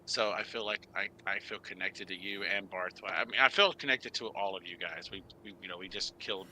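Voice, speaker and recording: Male tabletop player, very bright, forceful low voice, halting in-character storytelling, faint background noise. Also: poor audio. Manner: halting, self-correcting, searching for words.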